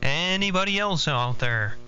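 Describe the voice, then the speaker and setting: A man's voice: fairly high pitch, animated, measured pace. A man running a CB radio check-in, calling stations in a procedural, repetitive way. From Arkansas, United States. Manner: procedural, repeats himself. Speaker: CB radio net controller. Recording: dull CB radio audio, loud hum.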